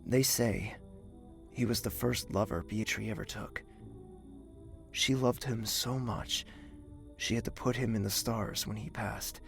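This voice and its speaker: A man's voice: fairly low pitch, steady tone, unhurried, gravelly, a bit bright, soft background music. A man playing a tender, wistful young lover in an audio drama, speaking hesitantly and earnestly. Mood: wistful, gentle.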